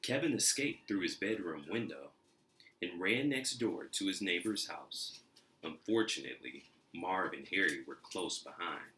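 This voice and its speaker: A man reading a children's storybook aloud in an expressive, deliberate narrating style. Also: deep voice, a little hiss or hum, poor audio. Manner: expressive, deliberate, acts out sounds. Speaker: storybook reader.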